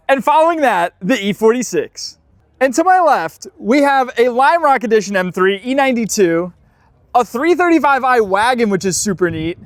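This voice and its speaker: A man's voice: very high voice, no echo. A man vlogging at a car week, enthusiastic and exclaiming over the cars he spots. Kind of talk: excited car-spotting vlog.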